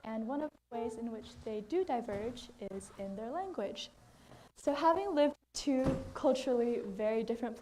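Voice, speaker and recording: A teenage student whose first language is Chinese, giving an earnest, slightly halting research presentation to a group. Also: female voice, on the high side, dark tone, slight background noise. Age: teens.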